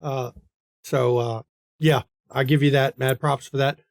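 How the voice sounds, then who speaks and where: A man's voice: mid-range voice, steady tone, unhurried, vocal fry, no echo, clean studio sound. A man with an American accent hosting a game stream in a casual, chatty style. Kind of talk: casual chat and stream outro.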